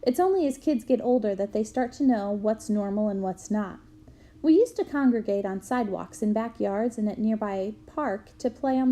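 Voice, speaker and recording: A woman reading a memoir aloud to students in a clear storytelling manner. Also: medium pitch. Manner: clear storytelling, bursts into loud shouts for quoted yells.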